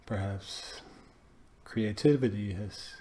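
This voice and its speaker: A man guiding a meditation, speaking in short phrases with pauses between them. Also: lowish voice, dark tone. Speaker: meditation teacher. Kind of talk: guided meditation talk.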